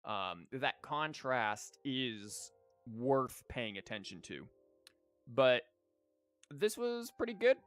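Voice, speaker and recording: A young man wraps up a gaming livestream, talking casually into a desk mic and rambling with lots of restarts. His voice sits in an ordinary middle range. Quiet game music runs in the background. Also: medium pitch, sing-song, slow pace. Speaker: livestream host. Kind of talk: casual gaming stream wrap-up.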